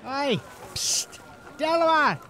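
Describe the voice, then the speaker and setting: A man's voice: very high voice, lively intonation, takes it slowly, sharp tone, slight background noise, exaggerated voice. A man playing a furtive, loyal streetwise informant in a fantasy audio drama, talking in a colloquial, conspiratorial way.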